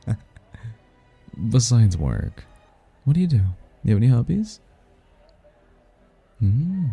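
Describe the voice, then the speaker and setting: Casting: male actor as suave demon seducer for ASMR roleplay, very low gravelly voice, courtly and flirtatious, prideful. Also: mellow, heavily acted. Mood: admiring, teasing, then intrigued, flirtatious. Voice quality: husky, gravelly. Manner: smooth-talking, courtly, flirtatious. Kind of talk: ASMR roleplay, one-sided romantic conversation. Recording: music behind the voice.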